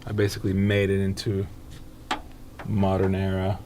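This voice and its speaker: A man's voice: low-pitched, monotone, normal speed, noisy background. A man in his thirties giving a casual technical walkthrough of synthesizers while playing them in a recording studio. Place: wood-paneled recording studio, indoors.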